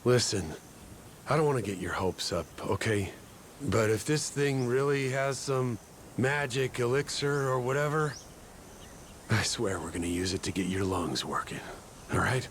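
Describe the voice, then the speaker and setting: A man playing an earnest, protective, rough-spoken father in a video game dub, speaking plainly. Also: mid-range voice, unhurried, slight background noise, natural voice. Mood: earnest, reassuring. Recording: music behind the voice.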